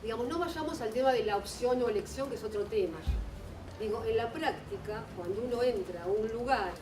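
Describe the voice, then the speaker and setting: A woman's voice: fairly high pitch, fairly quick. A woman from Argentina with an Argentine accent, asking an earnest, insistent question at a panel discussion. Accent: Argentine Spanish. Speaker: audience member asking a question. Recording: echoey room, distant mic, noisy.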